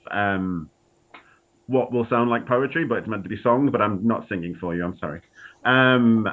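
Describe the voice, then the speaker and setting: Froggy-voiced man with a cold, tinny line. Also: lowish voice. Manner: casual, self-deprecating, many ums. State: has a cold, slightly froggy. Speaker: author reading own work. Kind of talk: chatty introduction to a reading. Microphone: video call.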